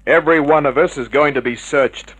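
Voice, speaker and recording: An actor playing a cynical, unflappable private detective, terse and dry, in vintage radio drama style. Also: male voice, fairly high pitch, a little fast, fairly bright tone, muffled recording. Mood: firm, dry. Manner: terse, hard-boiled, dry. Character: cynical, unflappable male private detective narrating his case.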